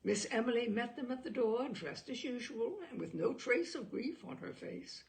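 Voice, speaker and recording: A woman narrating a literary short story aloud in an expressive, measured way, with dramatic phrasing. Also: mid-range voice, creaky, rough recording.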